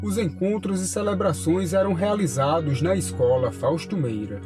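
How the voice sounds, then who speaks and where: A man reading a scripted documentary narration in a measured, formal way. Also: fairly high pitch. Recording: voice-over with guitar music behind.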